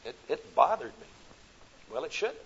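Husky midwestern preacher guy, swells loud then goes soft and slow, muffled old tape sound. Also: a little high, big pitch swings. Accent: Midwestern American. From American Midwest. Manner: rises to loud emphatic peaks, drops to soft slow phrases. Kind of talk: sermon. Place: conference hall. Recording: dull lo-fi recording.

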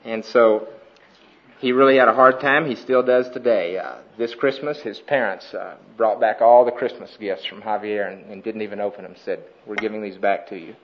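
A man's voice: on the low side, slight background noise. Earnest man giving a mission report. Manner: earnest, steady, frequent "uh" pauses. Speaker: missionary speaker. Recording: dull lo-fi recording.

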